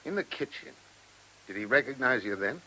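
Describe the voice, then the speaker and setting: An actor in a radio drama playing a dogged, plain-spoken English police inspector with an English accent and a matter-of-fact manner. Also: male voice, mid-range voice, expressive, normal speed, bright, forceful tone, slight background noise, rough recording. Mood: measured, probing.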